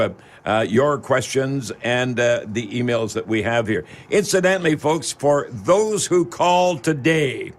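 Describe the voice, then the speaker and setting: A man hosts a casual radio talk show in a studio. He speaks in a folksy, halting way, often pausing mid-sentence as he addresses his listeners directly. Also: mid-range voice.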